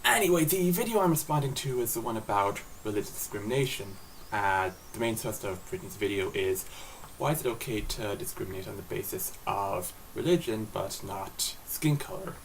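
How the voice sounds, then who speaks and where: A man's voice: on the low side, lively intonation, a little fast, creaky. An Irish man vlogging late at night, tired and slightly slurred, rambling conversationally with restarts and acted asides. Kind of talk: casual spoken video essay. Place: indoors at home at night. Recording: distant mic with faint hiss.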